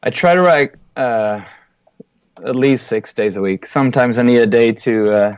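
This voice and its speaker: A man's voice: mid-range voice, moderate pace, clean tone, no room sound. A young man from Sweden with a light Swedish accent, a guest talking casually and openly in an interview. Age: young adult. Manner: tired, relaxed and open. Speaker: guest composer.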